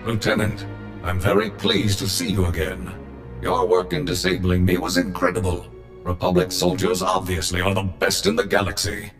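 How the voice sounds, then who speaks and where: An actor playing a gung-ho, patriotic war droid in a video game, speaking in a stiff, formal, bombastic way. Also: male voice, deep voice, expressive, normal speed, vocal fry, faint music behind. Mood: earnest, proud. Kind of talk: scripted video game acting.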